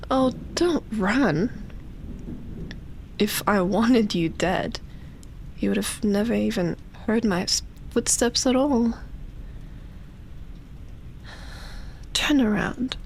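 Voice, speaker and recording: Woman acting as ancient female vampire, high breathy voice, whispery, seductive and possessive, extremely slow teasing ASMR roleplay. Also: darkish tone, slight background noise, rough recording. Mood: predatory, amused. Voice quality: breathy, often dropping into a whisper. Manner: slow, drawn-out, teasing, with lingering pauses.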